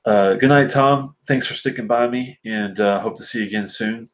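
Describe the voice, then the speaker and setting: Guy with a lowish stuffed-up voice rambling through viewer comments on his stream, lots of uhs. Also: normal speed. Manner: rambling, filler-heavy, answering viewer comments. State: stuffy, sinusy from allergies. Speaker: whisky reviewer host. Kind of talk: casual live-stream chat answering viewers.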